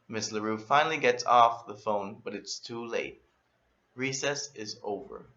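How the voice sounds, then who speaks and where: Guy reading a kids book out loud, bright punchy voice, really expressive, bit muffled lo-fi audio. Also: medium pitch. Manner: expressive, acts out emphasis and exclamations. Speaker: storyteller reading a children's book. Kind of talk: animated children's story read-aloud.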